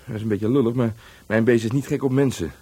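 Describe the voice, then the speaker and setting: A man's voice: mid-range voice, fast, dark tone, husky, close to an everyday voice. A man playing a reserved, dryly questioning police sergeant in a Dutch radio play, sometimes awkward and hesitant.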